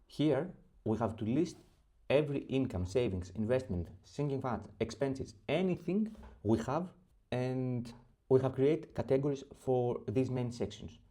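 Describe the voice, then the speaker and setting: A man from Greece with a Greek accent, walking through a spreadsheet tutorial step by step, pausing often with fillers. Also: mid-range voice, lively intonation, dark tone. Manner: methodical step-by-step explaining with frequent pauses and fillers. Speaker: spreadsheet template creator. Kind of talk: screen-recorded software tutorial.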